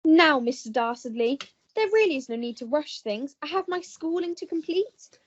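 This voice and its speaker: A woman's voice: sits high, expressive, moderate pace. A woman playing an innocent, reluctant young maiden in a radio melodrama, prim and fluttery. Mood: disgusted, reluctant. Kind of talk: melodrama radio play acting. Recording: dull lo-fi recording.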